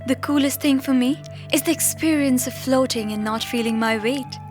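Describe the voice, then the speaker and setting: A woman's voice: high voice, moderate pace, close to an everyday voice. An actress playing an awestruck young woman astronaut reminiscing in an audio drama monologue. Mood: awed wonder. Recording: music bed under the voice. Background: instrumental music.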